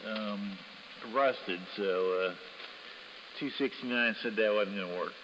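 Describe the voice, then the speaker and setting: A man's voice: lowish voice, takes it slowly. An American man in casual conversation as a CB radio operator, heard over a muffled, noisy radio link. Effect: CB radio transmission.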